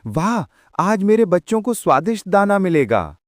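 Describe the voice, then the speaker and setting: A man voicing a tiny, plucky mother bird in a storybook folk tale, pleading in sing-song rhymes with drawn-out endings. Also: high-pitched, very animated, no room sound, studio quality, pitched above the performer's own voice. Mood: joyful.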